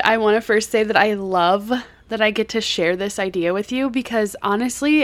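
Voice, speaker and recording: A woman hosting her own podcast, talking earnestly and reassuringly while a little hoarse and congested from a cold, recorded in a home basement. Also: medium pitch. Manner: earnest, encouraging, gently reassuring. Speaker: podcast host. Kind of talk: solo lifestyle podcast monologue.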